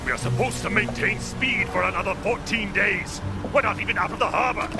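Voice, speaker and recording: A man's voice: sits high, brisk. An actor playing a smug, gloating businessman villain in a radio drama, speaking in a pompous, taunting, theatrical way. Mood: indignant alarm. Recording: music under the voice.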